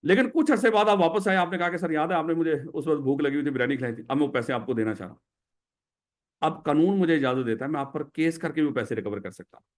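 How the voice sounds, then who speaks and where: A man's voice: a little high, fast talker. A man with a Pakistani Urdu accent teaching a classroom lecture, who explains by putting questions to his students.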